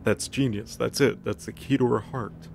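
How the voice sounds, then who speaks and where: A man's voice: medium pitch, steady tone, moderate pace, creaky, darkish tone, a little hiss or hum, natural voice. A man voicing an insecure, jealous male god who mutters petulantly and self-importantly in a comic story recap. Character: insecure, jealous young male god trying to seem cool.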